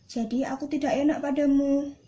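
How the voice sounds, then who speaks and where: A downcast, apologetic duck from a puppet fable acted by a young woman. The voice is high and soft-toned. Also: level tone, moderate pace, muffled recording, lightly acted. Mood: glum. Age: young adult. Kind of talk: puppet-show fable dialogue.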